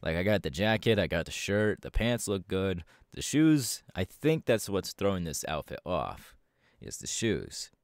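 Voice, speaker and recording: Casting: male actor for cocky, easygoing young winged hero, low register, very animated intonation, slangy and hesitant, audio roleplay. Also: no echo, studio quality, full character voice. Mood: casual, sheepish. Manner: casual, hesitant pauses, slangy. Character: laid-back, cocky young male winged superhero and model. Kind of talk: ASMR audio roleplay, casual conversational acting.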